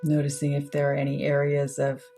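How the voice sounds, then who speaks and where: A woman leading a guided meditation, speaking in unhurried, measured short phrases with pauses between them. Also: low-pitched, completely flat delivery, mellow, soft background music. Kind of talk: guided meditation, slow soothing instruction.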